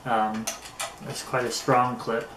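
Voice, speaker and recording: A man giving a casual hands-on product review, speaking in a halting way with pauses mid-phrase. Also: medium pitch, flat delivery. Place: indoors in an echoey room. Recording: roomy echo, faint background noise.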